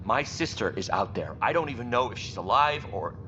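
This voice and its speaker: A man's voice: mid-range voice, lively intonation, a little fast, bright, forceful tone. A man playing a bitter, defiant station worker in a video game. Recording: muffled, clear vehicle-like background noise.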